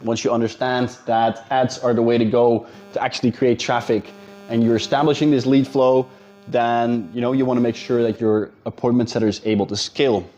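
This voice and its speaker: A man's voice: medium pitch, little pitch movement, brisk, a little mellow, muffled recording. A man with a Dutch accent, a business coach talking casually and directly to viewers in an advice video. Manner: direct, informal, addresses viewers as guys.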